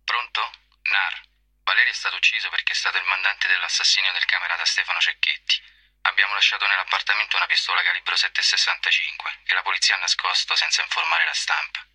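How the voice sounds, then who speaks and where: A man voicing a menacing anonymous male phone caller who speaks in cold, clipped threats with a hushed, breathy voice. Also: sits low, fast, no echo, exaggerated voice, low-quality recording. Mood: threatening. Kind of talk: radio drama reading.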